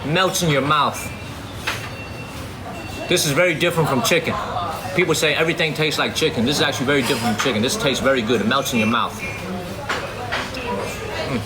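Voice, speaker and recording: A man's voice: mid-range voice, brisk, pauses often, over a noisy crowd. A man hosting a casual eating livestream at an outdoor restaurant table, chatty and slangy, repeating himself as he talks. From China. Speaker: livestream host. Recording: loud outdoor noise.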